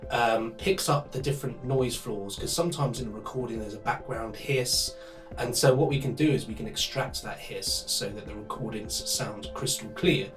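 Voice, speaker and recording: A man with a medium-pitched voice explains his technical work as a sound designer in an informal way. Faint guitar music plays underneath. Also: normal speed. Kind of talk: explanatory talk to camera.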